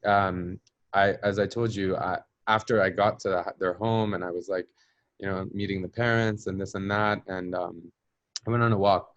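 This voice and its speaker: A man's voice: low-pitched, fairly even intonation, normal speed, dry recording, dull audio. A man with an American accent, telling a personal story as an interview guest, reflective and searching for words with frequent fillers. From Palestinian diaspora. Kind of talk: conversational storytelling.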